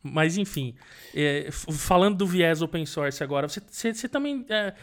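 A Brazilian man hosting a casual podcast interview, with a voice a bit higher than most men's. He talks in a warm, chatty way, breaks into laughter, and teases his guest. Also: animated, moderate pace. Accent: Brazilian Portuguese. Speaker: podcast host.

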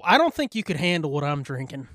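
Male podcast co-host, very lively pitch swings, close dry sound, crude joking banter. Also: fast talker, no echo. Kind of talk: casual comic banter.

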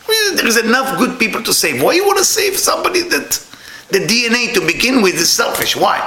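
A middle-aged man with an Israeli accent giving a spiritual lecture to a live audience. He speaks with non-native grammar and jumps up high when he acts out what other people said. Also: big pitch swings, brisk, echoey room, crowd noise.

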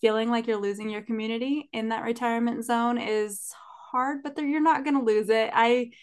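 A woman's voice: a little high, steady tone, brisk, smooth. A woman co-hosting a podcast, chatting casually and enthusiastically. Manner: enthusiastic, upbeat. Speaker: podcast co-host.

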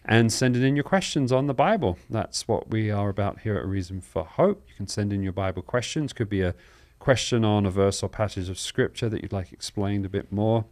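Low-voiced man hosting a live show. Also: fairly quick, few pauses, darkish tone. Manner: welcoming, informal, explanatory. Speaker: host of a live Bible question show. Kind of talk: chatty live broadcast hosting, announcements.

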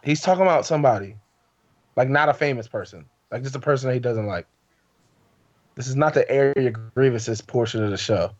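A man's voice: mid-range voice, fairly quick. A man co-hosts a podcast, shutting others down with teasing, dismissive comebacks in casual banter. His voice sits mid-range. The sound is slightly muffled and lo-fi. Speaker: podcast co-host.

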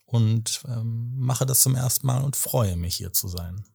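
A man in his thirties from Berlin, co-hosting a podcast and explaining things in a measured, slightly formal way. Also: mid-range voice, gravelly, mellow, breathy edge. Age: 30s. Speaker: podcast co-host. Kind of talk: casual podcast talk.

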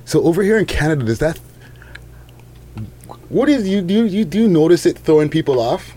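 A man's voice: fairly high pitch, big pitch swings, a little fast, mellow. A man hosting a casual interview, asking questions in an informal, slangy way. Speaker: interviewer, host.

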